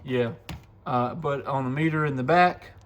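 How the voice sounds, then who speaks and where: A man's voice: mid-range voice, normal speed, very noisy. A man giving a casual, plainspoken hands-on product walkthrough, explaining things step by step in a folksy way. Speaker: radio shop owner presenting a product demo. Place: radio shop, indoors.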